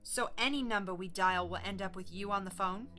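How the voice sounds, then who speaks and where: A woman's voice: mid-range voice, brisk, bright, forceful tone, natural voice. An actress playing a wary, combative young woman in a naturalistic audio drama, blunt and challenging. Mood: probing. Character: Faith, wary combative young woman refusing to open the door.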